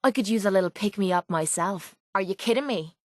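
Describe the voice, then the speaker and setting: An actress plays a tough young Irishwoman who fights for hire as a video game companion. She is blunt, cocky and sarcastic, throwing out slangy remarks in a mid-pitched voice. The sound is close and dry. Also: expressive, brisk, darkish tone, dry recording, close to an everyday voice. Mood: sardonic, brisk.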